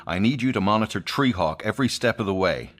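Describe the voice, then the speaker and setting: A man's voice: on the low side, expressive, fast talker. A man playing a fussy, eccentric space commander with a pompous manner in a sci-fi audio drama. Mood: brisk, irritated.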